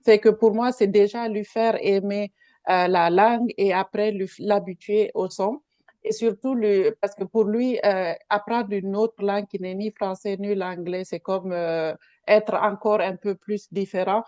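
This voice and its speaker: Mom on a video call, native tongue not french, mellow voice, thinks out loud, dry sound. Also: female voice, medium pitch, fairly even intonation, unhurried, dry recording, highs cut off. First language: a language other than French or English. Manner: reflective, hesitant, restarts her sentences. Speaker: parent participant. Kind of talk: sharing experience in a webinar.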